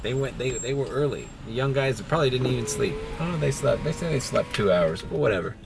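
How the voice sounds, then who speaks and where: A man's voice: mid-range voice, brisk, gravelly, background noise. A young man, a rideshare driver, casually telling stories in his car early in the morning, sounding groggy and swearing freely. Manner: swears casually, animated storytelling. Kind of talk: casual driving vlog. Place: inside a car.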